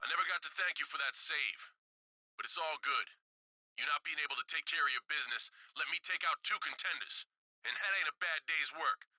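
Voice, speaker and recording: An actor playing an arrogant, territorial pro wrestler in a video game, trash-talking in a condescending, cocky way. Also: male voice, a little high, fairly quick, pushed and bright, soft background music, poor audio. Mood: smug. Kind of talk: scripted video game cutscene trash talk.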